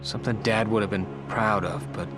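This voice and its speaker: An actor playing a guilt-ridden young American soldier, halting and subdued, in a video game drama. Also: male voice, on the low side, fairly even intonation, over music, close to an everyday voice.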